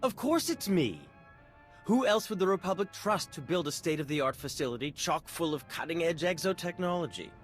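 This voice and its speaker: A man playing a vain, excitable engineer in a sci-fi game, wordy and theatrical. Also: a little high, normal speed, faint music behind, natural voice. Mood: indignant. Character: vain, excitable male exotechnology engineer, relieved and self-important.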